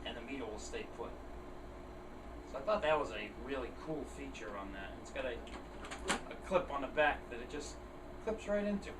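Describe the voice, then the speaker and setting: A man's voice: medium pitch, expressive, moderate pace, long pauses. A man giving a casual, unscripted show-and-tell, plain-spoken and thinking aloud as he goes. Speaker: hobbyist video host showing gear. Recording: roomy, distant mic, air conditioner noise. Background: air conditioner running.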